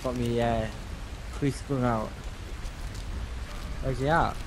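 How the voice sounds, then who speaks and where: A man's voice: medium pitch, steady tone, slow pace, noisy background. A man chatting casually as he plays a co-op video game. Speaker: gamer playing co-op. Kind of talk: casual gameplay chat. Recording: loud game sound behind voice. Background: game ambience and effects.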